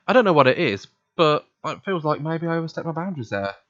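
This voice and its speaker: A man's voice: on the high side, sing-song, quick pace, fairly bright tone, dull audio. A man reading a visual novel aloud in a casual, conversational way, acting out dialogue as he goes. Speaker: let's play reader voicing the protagonist.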